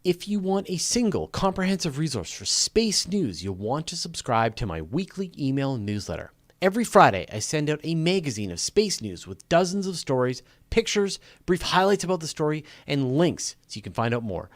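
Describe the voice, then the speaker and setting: A man's voice: on the high side, sing-song, moderate pace, hardly any pauses, no room sound. A man hosting a casual live question-and-answer show, talking in an informal, joking, conversational way. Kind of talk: casual live Q&A chat with viewers.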